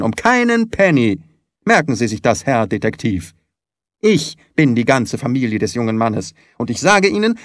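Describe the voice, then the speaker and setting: A man voicing a quarrelsome, stingy little old lord in an audiobook, with a sharp, screechy voice and a tense, commanding manner. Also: mid-range voice, dry recording, clean studio sound, close to an everyday voice. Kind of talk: dramatised audiobook reading.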